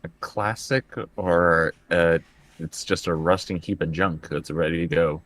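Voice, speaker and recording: A man's voice: sits low, steady tone, unhurried, fairly bright tone. A man casually playing a tabletop game in character, with dry, hesitant, needling banter.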